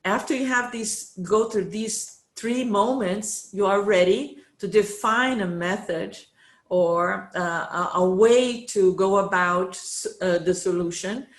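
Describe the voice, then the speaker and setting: A Brazilian-accented woman in her fifties presents to an online audience as a professor, speaking English as a second language. She pauses often, filling gaps with "uh" and restarting phrases as she reaches for the right word, at a mid pitch typical for a woman. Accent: Brazilian Portuguese. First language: Portuguese. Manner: hesitant, many fillers, searching for words.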